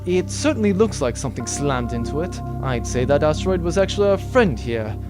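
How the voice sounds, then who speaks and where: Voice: mid-range voice, big pitch swings, fairly quick, over music, natural voice. A performer playing a brash, sarcastic spacefaring woman in a comedic sci-fi audio drama. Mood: wry, alert. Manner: sarcastic, flippant.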